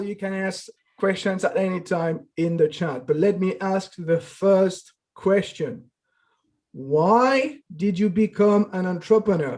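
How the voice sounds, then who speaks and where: A man hosting an online panel, unhurried and friendly, calling on each guest by name over a video call. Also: high voice, a little mellow. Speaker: host and moderator.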